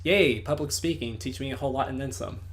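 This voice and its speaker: A man interviewing in a casual online chat, with a voice at a middle pitch. He is long-winded and lively, and he throws in swear words freely. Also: quick pace, a little hiss or hum. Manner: wordy, animated, swears freely.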